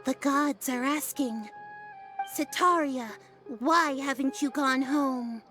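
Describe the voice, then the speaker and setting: A haughty fortune-teller in a video game. She speaks high and slowly, pompous and theatrical as a mouthpiece of the gods. Faint music sits behind her. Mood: feigned attentiveness. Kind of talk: game cutscene voice acting.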